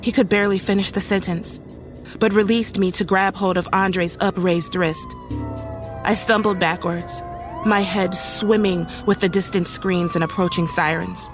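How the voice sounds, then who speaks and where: A woman's voice: mid-range voice, steady tone, moderate pace, natural voice. A woman performing suspenseful first-person horror narration as an uneasy young woman recounting a horrifying night. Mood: dread. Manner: serious, suspenseful storytelling. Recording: music faintly under the voice.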